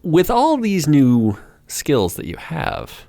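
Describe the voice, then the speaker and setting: A man's voice: fairly high pitch, slow pace, creaky, no room sound. A man co-hosting a casual podcast, playfully quizzing his co-host with trivia questions. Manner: lively, playful quizmaster.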